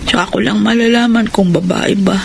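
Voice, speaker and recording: A woman's voice: medium pitch, fairly even intonation, brisk, vocal fry, noisy background, natural voice. An actress playing a poor, humble, long-suffering young wife and new mother in a Filipino radio drama, plaintive and pleading. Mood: weary.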